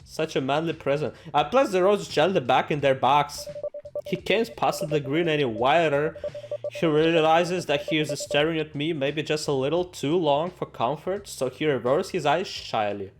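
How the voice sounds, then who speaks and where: A man's voice: on the high side, normal speed, a little hiss or hum. A man doing game playthrough commentary, reading the story text aloud with theatrical, sarcastic asides. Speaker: gaming video host. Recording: music behind the voice.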